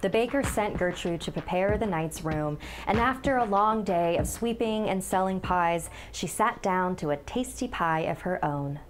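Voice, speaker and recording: A woman in her thirties narrates a dark tale in a fairly low voice. Her delivery is scripted and dramatic, with clear music playing behind her. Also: lively intonation, normal speed, few pauses. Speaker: storyteller. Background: background music.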